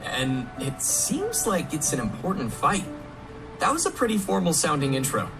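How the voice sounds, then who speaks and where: A man presents as a program host in an upbeat, scripted style. His intonation is very animated, and music is clearly heard underneath. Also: a little high, moderate pace. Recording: music under a played stream.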